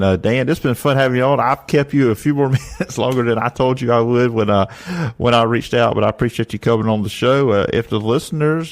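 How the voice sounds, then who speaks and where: A man hosting a casual sports talk show. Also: medium pitch. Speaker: sports podcast host.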